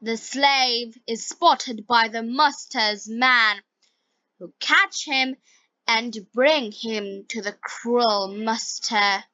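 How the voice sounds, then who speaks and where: Very slow, bright Bengali-accented woman. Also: fairly high pitch, no room sound, lo-fi sound. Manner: deliberate, carefully enunciated short phrases. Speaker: English teacher. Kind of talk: slow scripted story reading and vocabulary lesson for learners.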